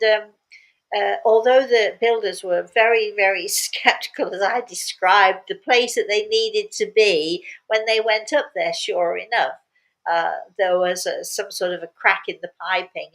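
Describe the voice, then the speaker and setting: Older female medium, mid-pitched, chatty storyteller who leans on key words by repeating them. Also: moderate pace, fairly bright tone, rough recording. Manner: emphatic, repeats words for emphasis. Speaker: spiritual medium telling stories and answering questions. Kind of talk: casual storytelling talk.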